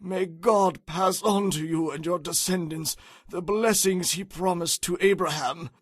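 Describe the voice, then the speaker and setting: An actor playing an elderly, solemn father blessing his son in a dramatized audio Bible, speaking deliberately. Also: sits high, fairly even intonation, slightly rough, dry recording, clean studio sound.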